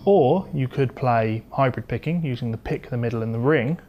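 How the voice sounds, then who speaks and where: A man's voice: mid-range voice, normal speed, highs cut off. A man giving a guitar lesson, explaining step by step in a conversational, instructional way. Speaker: guitar teacher. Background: electric or acoustic guitar playing.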